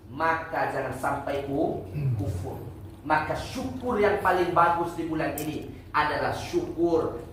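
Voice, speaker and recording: A man preaching a lively mosque sermon, putting questions to the congregation and answering them himself. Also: on the high side. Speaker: Islamic preacher. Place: mosque prayer room. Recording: echoey room, distant mic, faint noise.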